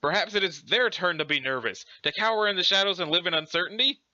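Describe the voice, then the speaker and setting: A man voicing a chatty, scholarly, excitable alien troll with an affected British accent and a pompous, wordy manner. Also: high-pitched, fast, no room sound, highs cut off, heavily acted. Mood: stirring, jaunty. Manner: pompous, wordy, effusively enthusiastic. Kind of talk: theatrical character reading of a webcomic.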